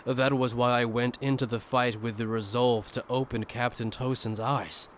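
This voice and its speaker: A man's voice: mid-range voice, fairly even intonation, moderate pace, natural voice. A man playing an earnest, troubled soldier in an anime fan dub, speaking introspectively. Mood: regretful, reflective. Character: earnest, troubled male soldier reflecting on his justice. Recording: roomy long reverb, faint noise.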